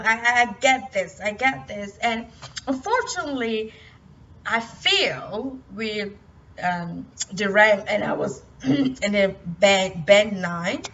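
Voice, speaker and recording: A young woman from Cameroon talking about her life in a personal motivational vlog, halting and full of restarts. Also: mid-range voice, fairly bright tone. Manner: hesitant, halting, many restarts. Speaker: vlogger. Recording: distant, muffled, light noise.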